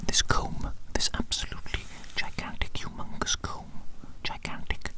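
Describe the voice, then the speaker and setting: A performer voicing an eccentric, bossy evil doctor in a breathy whisper for an ASMR medical roleplay, theatrical and mock-formal, repeating phrases. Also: moderate pace, lightly acted. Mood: fascinated, musing. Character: eccentric evil doctor, bossy, easily offended, gleefully fascinated.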